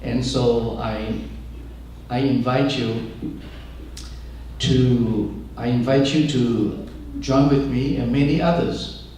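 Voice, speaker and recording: An older man, a pastor whose first language is Chinese, speaking to an audience in a large room, pausing often to search for words. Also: medium pitch, a little mellow, heavy reverb, recorded from a distance, slight background noise, rough recording. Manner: halting, searches for words with many fillers. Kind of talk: speech to an audience.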